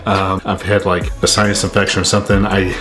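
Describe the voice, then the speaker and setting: A man's voice: low-pitched, flat delivery, fairly quick, music in the background. A young man with a congested, stuffy voice, chatting casually to camera in a vlog, with frequent ums and uhs. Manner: chatty, self-narrating, frequent uh and um. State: congested from a sinus infection. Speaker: sneaker bot vlogger.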